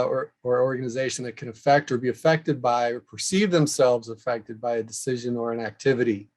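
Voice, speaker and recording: A man hosting a webinar, presenting in a measured, somewhat halting way with pauses. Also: mid-range voice. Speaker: host and moderator, association director. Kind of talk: webinar presentation, semi-scripted.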